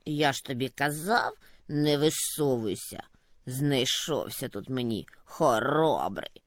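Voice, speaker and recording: A woman voicing a grumpy, bossy old snowdrift who grumbles gruffly at everyone. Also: very deep voice, lively intonation, slow, no echo, clean studio sound, pitched below the performer's own voice, full character voice. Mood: grumbling.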